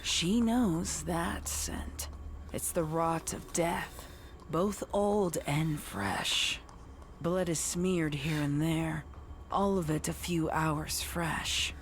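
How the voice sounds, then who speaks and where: A woman reading dark horror fiction as scripted narration. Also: sits low, very slow, slight background noise.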